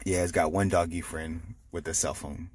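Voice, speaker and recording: A man reading a picture book aloud to children, explaining it simply with animated asides. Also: deep voice, moderate pace, slight background noise. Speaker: storytime reader.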